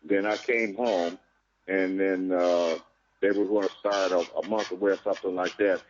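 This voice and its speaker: A man's voice: low voice, steady tone, normal speed, no echo. A man, a boxing trainer, answering interview questions plainly and repeating words as he goes. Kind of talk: interview answers over a call. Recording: dry muffled call audio.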